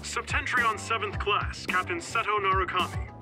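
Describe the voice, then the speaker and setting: High-pitched, gushing announcer played by a man. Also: moderate pace, natural voice. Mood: eager, probing. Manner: gushing, declamatory, showy. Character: excitable TV announcer and field reporter. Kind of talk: breathless sports-style news announcing. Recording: dramatic game music behind.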